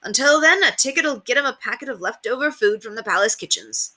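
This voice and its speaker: A woman's voice: on the high side, lively intonation, a little fast, pushed and bright, voice pushed up. A woman voicing a small, wiry, brash male street tout with clipped, cheeky, colloquial salesman patter in a storybook reading. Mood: breezy, condescending.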